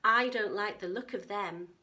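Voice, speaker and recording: Woman reading as an excitable, bright-voiced little girl. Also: medium pitch, unhurried. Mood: wary. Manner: exclaiming, expressive. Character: adventurous, curious, excitable little girl in a storybook.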